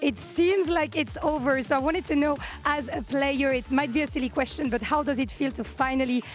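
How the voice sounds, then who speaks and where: Upbeat female post-match interviewer with a high voice. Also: a little fast, faint music behind. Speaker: stage interviewer.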